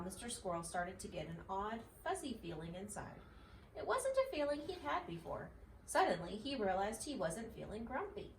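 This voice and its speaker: A woman narrating a children's storybook aloud expressively, as a mother reads. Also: medium pitch. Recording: roomy, distant mic, faint noise.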